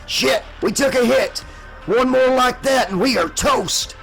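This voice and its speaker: A man voicing a gruff, grizzled, foul-mouthed starship pilot who shouts urgently in an audiobook story. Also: very high-pitched, unhurried, faint music behind, voice pushed up, heavily acted. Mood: alarmed.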